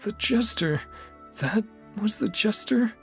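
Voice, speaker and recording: A woman's voice: mid-range voice, expressive, slow pace, vocal fry. A woman game streamer giving casual let's-play commentary and reading game dialogue aloud. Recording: game music behind voice. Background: game music.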